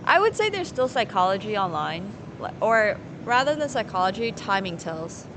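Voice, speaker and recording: A woman's voice: medium pitch, big pitch swings, moderate pace, background noise. A woman from Finland live streaming casually, thinking aloud in rambling fragments as she plays and chats with viewers. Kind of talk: casual chat while playing poker, answering viewers.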